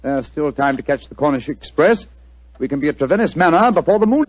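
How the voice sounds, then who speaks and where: A man playing a shrewd, courteous gentleman detective with a British accent in a 1940s radio drama, speaking precisely and deliberately. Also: fairly high pitch, lively intonation, close to an everyday voice. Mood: decisive. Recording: muffled old radio recording.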